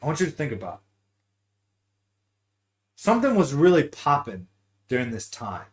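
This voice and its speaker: Male podcast host, average pitch, loose gamer slang, swears freely, conversational. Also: huge pitch swings, moderate pace. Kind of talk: casual gaming talk show chat.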